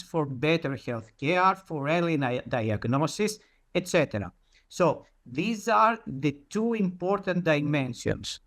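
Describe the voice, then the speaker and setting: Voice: mid-range voice, fairly bright tone. A presenter giving an explanatory talk in a didactic way, posing questions and then answering them.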